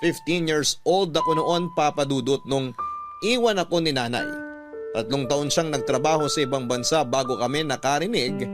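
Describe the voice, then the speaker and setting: Actor as a higher-pitched Filipino male story narrator. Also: normal speed, close to an everyday voice. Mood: somber. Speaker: letter-sender narrator. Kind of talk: scripted radio drama narration. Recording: instrumental music bed behind voice.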